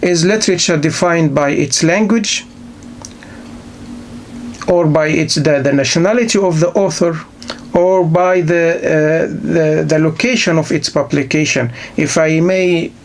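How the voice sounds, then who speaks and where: Male lecturer, a little high-pitched, rough and gravelly, halting delivery with many rhetorical questions. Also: a little hiss or hum. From Egypt. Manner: halting, many restarts and repeated words. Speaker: literature lecturer. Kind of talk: unscripted university lecture.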